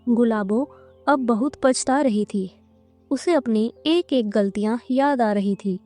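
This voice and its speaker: Higher-pitched, mellow woman storyteller. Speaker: narrator. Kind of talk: scripted storytelling narration for a cartoon.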